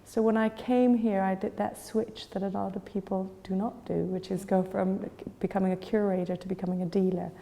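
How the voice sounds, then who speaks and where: A woman's voice: mid-range voice, flat delivery, fairly quick, gravelly, hardly any pauses, dark tone, big-room sound. A woman in her forties from Chile, answering interview questions thoughtfully, with many fillers and restarts. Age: 40s. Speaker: gallery owner.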